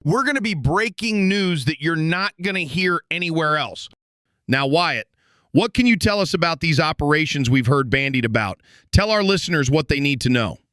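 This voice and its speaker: A man's voice: on the high side, animated, moderate pace, fairly bright tone, no echo. A man playing a brash, showy conspiracy radio host in an audio drama, with an emphatic, folksy announcer delivery. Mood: hyped showman. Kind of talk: bombastic talk radio hosting in an audio drama.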